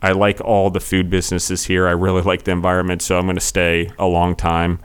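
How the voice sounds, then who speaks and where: Young male podcast host, low and steady, chatty and rambling. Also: fairly quick, smooth. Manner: chatty, rambling with many fillers. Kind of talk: casual interview.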